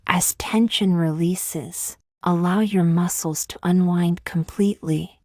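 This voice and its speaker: A woman leading a guided meditation in a calm, gently measured, scripted style with long pauses between phrases. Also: lowish voice, no room sound, studio quality.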